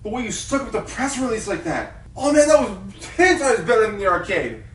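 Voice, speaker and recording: A man playing an idealistic, excitable young pony cub reporter in a radio play, dramatic and fervent. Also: high voice, fairly quick, far from the mic. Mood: excited. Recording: echoey room, off-mic.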